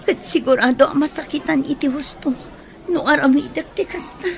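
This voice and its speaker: Woman acting high-voiced, guilt-torn young Ilocana. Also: moderate pace. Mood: conflicted. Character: guilt-torn, soft-hearted young woman who cannot love back. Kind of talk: Ilocano radio drama acting.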